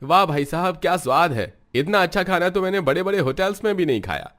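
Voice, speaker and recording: An actor voicing a firm, kind-hearted army major in a cartoon story, speaking with calm authority. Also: male voice, high voice, fairly quick. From Punjab. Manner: firm, warm-hearted, commanding. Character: kind, upright army major, middle-aged man. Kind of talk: cartoon voice acting.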